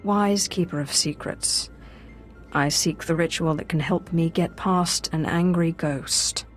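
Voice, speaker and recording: An actress playing a respectful, determined Sith apprentice in a video game, speaking formally and earnestly. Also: female voice, low-pitched, slow pace. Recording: long hall reverb, music behind.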